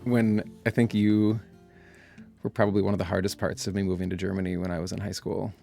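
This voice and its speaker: A man's voice: low voice, level tone, fairly quick, gravelly, warm tone, faint music behind. A man in his thirties in an interview booth, sharing a memory with his niece in a tender, reminiscing way. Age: 30s. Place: recording booth.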